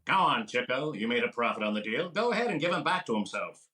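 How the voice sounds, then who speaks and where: A sly middle-aged wisecracker, bright lively voice, old-radio comic timing. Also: male voice, medium pitch, a little hiss or hum. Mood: wisecracking. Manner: quick wisecracks, sly teasing delivery. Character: wisecracking fast-talking comedian, male, middle-aged. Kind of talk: old-time radio comedy recreation.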